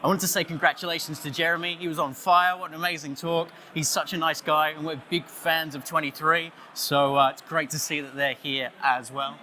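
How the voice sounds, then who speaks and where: A man with an Australian accent giving a playful conference talk, loudly joking and chatting with the audience. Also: fairly high pitch, fairly even intonation, fairly quick, few pauses, slight background noise. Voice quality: loud voice. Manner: jokey, playful, chatty with the crowd. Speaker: conference speaker. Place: conference stage, audience on headphones.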